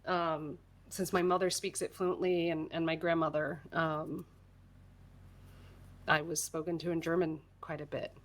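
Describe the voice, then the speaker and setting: Chatty American woman, lowish voice. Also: level tone, measured pace, a little hiss or hum. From United States. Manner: chatty, self-deprecating, laughs often. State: congested. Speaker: crafting livestream host. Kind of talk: casual livestream chat while crafting.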